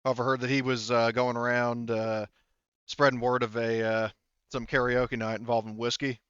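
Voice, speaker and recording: A man's voice: mid-range voice, little pitch movement, normal speed, a bit bright, clear, resonant tone, no echo, dull audio, lightly acted. A man voicing a blunt, informal male security subordinate who rambles in a roleplaying game. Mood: suggesting.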